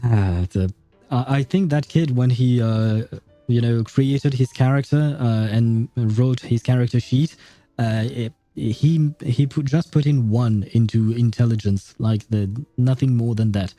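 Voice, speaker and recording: A man's voice: mid-range voice, a little slow, dark tone, no echo. A man reading a game story aloud and adding casual, sardonic commentary as a streamer. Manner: sardonic asides. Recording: background music behind voice.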